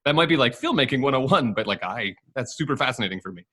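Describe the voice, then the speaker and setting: A man's voice: mid-range voice, expressive, fast, bright, forceful tone, breathy edge. A young man chatting casually about acting in an ad, rambling and self-deprecating with many fillers. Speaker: actor in the ad. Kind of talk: casual chat.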